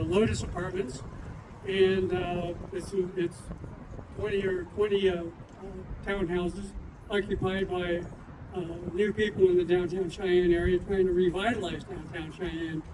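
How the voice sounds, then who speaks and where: High-pitched, halting man speaking outdoors. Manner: halting, repeats and restarts words. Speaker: guest speaker at a public event. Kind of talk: informal public speech on local history. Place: outdoors at a downtown festival. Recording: distant outdoor mic, street noise.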